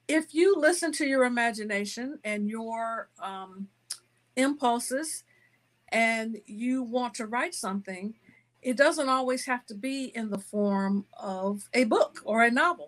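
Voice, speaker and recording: A woman speaking as an author and interview guest, giving conversational answers with deliberate, emphatic phrasing. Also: on the high side, fairly bright tone.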